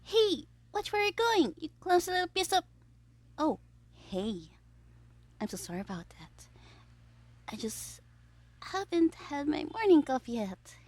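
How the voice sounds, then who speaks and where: A woman's voice: high voice, sing-song, a little slow, long pauses, no echo. A woman playing a smug, theatrical young supervillain who schemes playfully in an audio roleplay diary. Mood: irritated, then feigned charm. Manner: theatrical, playful, self-satisfied, drifting into conspiratorial whispers.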